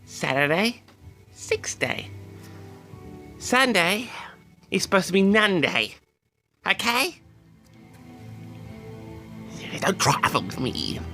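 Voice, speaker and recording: Man acting pompous eccentric male diarist, indignant, theatrical, swooping intonation, creaky voice, comic rambling monologue. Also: a little high, bright, forceful tone, heavily acted. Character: pompous, easily affronted eccentric man keeping an audio diary. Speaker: audio log host.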